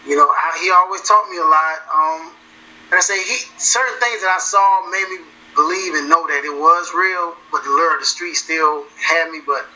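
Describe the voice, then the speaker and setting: A man, a musician talking in a casual interview, relaxed and repeating himself as he goes. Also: fairly high pitch, moderate pace, lo-fi sound. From South Carolina. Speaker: musician guest.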